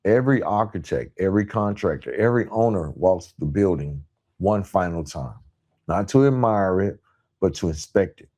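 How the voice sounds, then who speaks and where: Low, mellow-voiced man giving a motivational talk. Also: expressive, normal speed. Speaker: podcast host. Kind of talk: motivational monologue.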